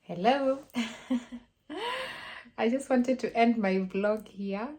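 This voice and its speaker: A woman talking casually in a travel vlog, unhurried and soft-spoken, with light laughs between phrases, recorded on a phone held at arm's length. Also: a little high, very animated. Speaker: travel vlogger.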